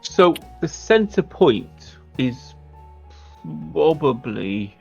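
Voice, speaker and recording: A man's voice: medium pitch, sing-song, extremely slow, creaky, darkish tone, slightly rough. A man chatting casually as a player in a tabletop role-playing game, with a dull lo-fi recording. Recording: dull lo-fi mic, faint music.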